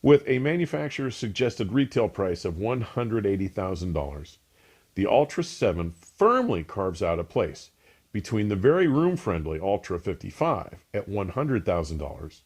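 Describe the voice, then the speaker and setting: Low-voiced man, animated scripted product review. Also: husky. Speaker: audio equipment reviewer and show host.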